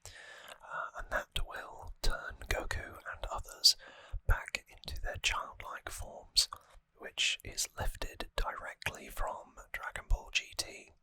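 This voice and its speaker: ASMR host: fully whispered, bright and breathy delivery, musing trivia commentary, close dry mic. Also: slow, no room sound. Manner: musing, conversational trivia talk. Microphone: close microphone, dry sound.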